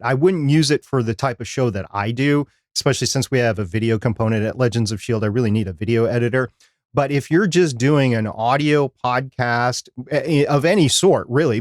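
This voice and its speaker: A man's voice: mid-range voice, dry recording. Male podcast co-host, dry studio sound. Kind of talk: casual live podcast discussion.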